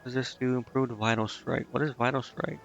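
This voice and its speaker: A man's voice: mid-range voice, fairly even intonation, fairly quick, vocal fry, dull audio. A man thinking aloud in casual, indecisive gameplay commentary, muttering to himself as he streams. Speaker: game streamer.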